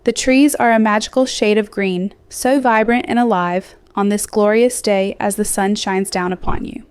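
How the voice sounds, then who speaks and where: A woman gently guiding a sleep hypnosis meditation in a slow, soothing, scripted delivery with softly trailing phrases. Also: mid-range voice, steady tone, smooth, dry recording, clean studio sound. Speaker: hypnosis guide.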